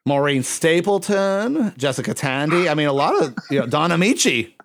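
A man's voice: on the high side, big pitch swings, normal speed, a bit bright. A man in his fifties hosting a podcast, chatty and full of film trivia. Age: 50s. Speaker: podcast host. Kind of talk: casual podcast chat.

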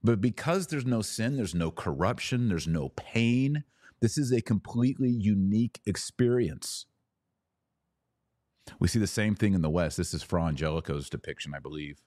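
A man hosting a podcast, giving an emphatic theological teaching talk with rhetorical questions and dramatic pauses. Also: on the low side, expressive, darkish tone. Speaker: podcast host.

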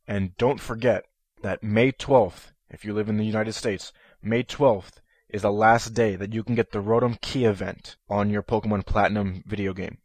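Male solo podcast host, nasal from a head cold, eager with lots of ums, slightly low voice, dry close sound. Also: fairly even intonation, normal speed, a little mellow, no echo. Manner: eager, with frequent ums and restarts. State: stuffy nose from a head cold.

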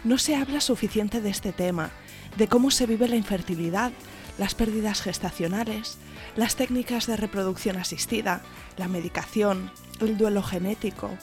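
A woman's voice: medium pitch, normal speed, a little mellow, faint music behind. A woman hosting a podcast, reading a scripted intro and then asking her guests questions in a friendly, enthusiastic way. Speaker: podcast host.

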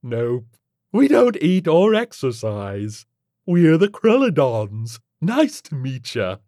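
Brash, loud tentacled alien. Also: male voice, on the high side, huge pitch swings, slow pace, no echo, clean studio sound, pitched above the performer's own voice, full character voice. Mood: breezy, teasing. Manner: folksy, profane, breezy. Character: huge tentacled alien creature, brash, amused, breezily rude.

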